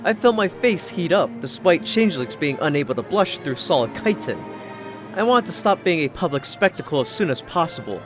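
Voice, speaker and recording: Animated, higher-pitched male story narrator. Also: a little fast. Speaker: audiobook narrator. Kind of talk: first-person scripted story narration. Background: background music.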